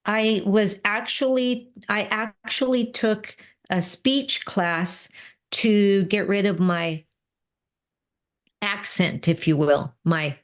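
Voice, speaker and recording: Slow-speaking earnest middle-aged woman. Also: mid-range voice. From Mexican-American background. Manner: earnest, repeats words, frequent "right?" tags. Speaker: podcast guest, business coach. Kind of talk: conversational interview.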